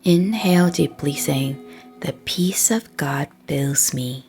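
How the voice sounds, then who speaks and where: Slow, low-voiced woman guiding meditation. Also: soft background music. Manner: soft, breathy, unhurried delivery with frequent pauses. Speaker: guided meditation and prayer leader.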